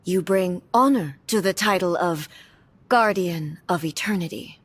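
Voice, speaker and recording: An actress voicing a stern, composed female warrior ruler in a video game cutscene, speaking in a measured, formal, solemn way. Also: fairly low pitch, slight background noise, lightly acted. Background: faint game music.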